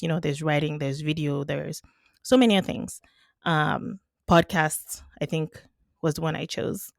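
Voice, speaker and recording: A woman whose first language is Yoruba speaks as an interview guest, her voice sitting a little low. She talks thoughtfully and laughs easily. The sound is dry and close, with no room echo. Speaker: podcast guest, economist. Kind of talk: casual interview chat.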